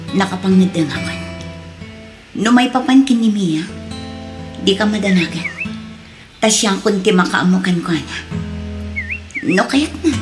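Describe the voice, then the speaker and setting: A woman's voice: medium pitch, lightly acted. Hurt, resolute Filipino mother over drama music, acted by a woman. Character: hurt but resolute young mother leaving her unfaithful partner. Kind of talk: Ilocano radio drama acting. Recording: music behind the voice.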